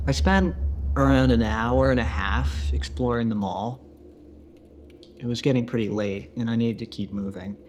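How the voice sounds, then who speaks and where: A man acting as a lone male survivor of an empty world, recording a found-footage confessional. He speaks in a halting, conversational, understated way at a middling pitch, with audible noise behind him. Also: a little mellow, close to an everyday voice. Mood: reflective, wary.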